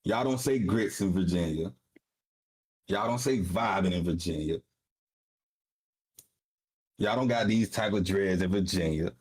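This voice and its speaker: A man's voice: sits low, unhurried, long pauses, a little mellow. A man from South Florida chatting casually on a livestream, slangy, swearing and teasing. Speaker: trading stream co-host.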